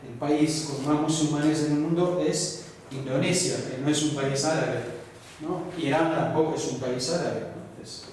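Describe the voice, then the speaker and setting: A man lecturing to a class, his voice a little high for a man, pausing to check understanding with short tag questions. He is heard from a distance in a large, echoey room with a long reverb and a faint hiss in the background. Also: fairly even intonation, darkish tone. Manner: explanatory, frequent "¿no?" tag questions. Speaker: university lecturer. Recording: echoey hall, voice from far off.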